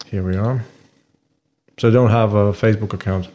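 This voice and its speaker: A man hosting a livestream interview, asking plain, relaxed questions. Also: fairly low pitch, moderate pace. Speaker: livestream host. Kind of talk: casual interview.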